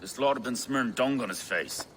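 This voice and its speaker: Man as weary freed hostage for a game; wry, mid-pitched, clear background noise. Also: a little fast, fairly bright tone, natural voice, low-quality recording. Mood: relieved, wry. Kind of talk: video game cutscene acting.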